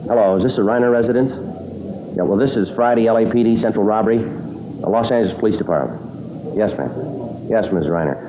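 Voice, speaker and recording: An actor playing a stoic American police detective sergeant in 1950s radio drama style, clipped and matter-of-fact. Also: male voice, fairly low pitch, brisk, pauses often, close to an everyday voice. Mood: polite, businesslike. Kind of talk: 1950s radio drama acting and case narration. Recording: dull, noisy old radio recording.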